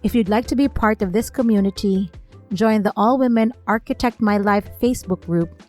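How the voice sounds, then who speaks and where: A woman hosts a podcast in a measured, read-aloud manner. Her voice sits at an ordinary middle pitch, with soft music playing faintly behind her. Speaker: podcast host. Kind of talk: scripted intro and outro, interview questions.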